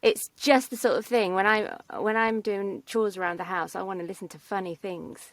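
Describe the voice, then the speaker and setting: A woman's voice: mid-range voice, fairly even intonation, moderate pace, no room sound. A woman in her thirties chatting casually as an interview guest from a small recording booth. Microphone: booth studio mic, headphones.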